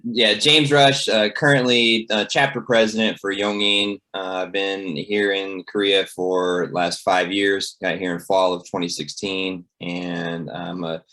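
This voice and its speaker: Low-voiced man chatting on a panel. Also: level tone, unhurried, few pauses, pushed and bright. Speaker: panel guest, university instructor. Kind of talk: casual self-introduction and chat.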